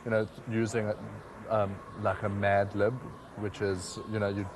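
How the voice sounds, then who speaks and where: A man's voice: on the low side, little pitch movement, moderate pace, a crowd in the background, rough recording. A man from South Africa, a website founder answering interview questions with many fillers and restarts.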